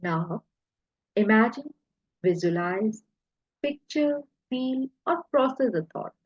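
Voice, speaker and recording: A husky, breathy woman speaks very slowly as she guides a hypnosis session. Her delivery is soothing and deliberate, broken into short phrases with pauses. The sound is echoey and slightly muffled. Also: a little high, expressive. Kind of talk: guided hypnosis relaxation narration.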